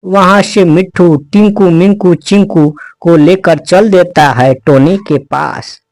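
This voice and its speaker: A man's voice: high voice, steady tone, slow pace, a little mellow, no echo. A man narrating a scripted children's animal story as the storyteller.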